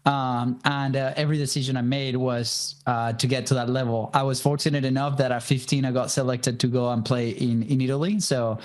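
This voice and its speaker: A man's voice: mid-range voice, flat delivery, brisk, hardly any pauses. A man in his thirties from Venezuela with a Venezuelan Spanish accent, a native Spanish speaker, telling his life story as a podcast guest in a conversational way with many fillers and restarts. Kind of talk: casual storytelling interview.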